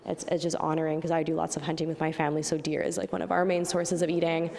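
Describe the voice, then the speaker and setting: A woman's voice: sits low, little pitch movement, quick pace, creaky, darkish tone, in a large hall. A young woman artist from Manitoba, Canada, reflecting casually in an interview with frequent "like" and "you know". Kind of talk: reflective interview talk about own art.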